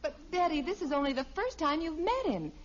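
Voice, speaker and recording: A woman's voice: high-pitched, big pitch swings, fairly quick. An actress playing a patient, drily amused middle-aged wife and mother in a 1950s radio sitcom. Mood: patient, concerned. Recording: dull, noisy vintage radio recording.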